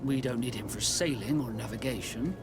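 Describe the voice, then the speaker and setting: An actor playing a brisk, stern but courteous sea captain in a video game, speaking with formal authority. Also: male voice, medium pitch, background noise, natural voice. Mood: concerned. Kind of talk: video game cutscene acting.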